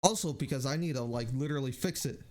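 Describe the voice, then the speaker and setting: A man who streams games, chatting casually in a halting way and muttering to himself. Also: mid-range voice. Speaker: live streamer. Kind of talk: casual gaming stream chat.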